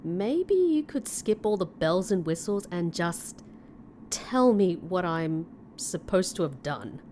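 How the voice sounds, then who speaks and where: A woman's voice: lowish voice, sing-song, slow, a little mellow, slight background noise. A woman playing a wry, defiant, protective heroine in a fantasy comedy audio drama, plain-spoken and sardonic.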